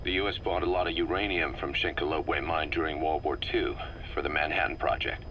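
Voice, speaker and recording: An actor playing a serious, methodical middle-aged intelligence officer, giving a measured, analytical briefing in a military video game. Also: male voice, very deep voice, steady tone, bright, forceful tone. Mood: grave. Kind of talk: scripted briefing, game voice acting. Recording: faint noise on a cassette-tape briefing.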